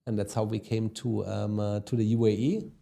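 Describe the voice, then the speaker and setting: A man's voice: fairly low pitch, level tone, normal speed, vocal fry, dark tone. A man with a German accent, a guest on a business podcast, talking casually with many ums and tag questions. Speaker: guest, e-commerce executive. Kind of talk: podcast interview.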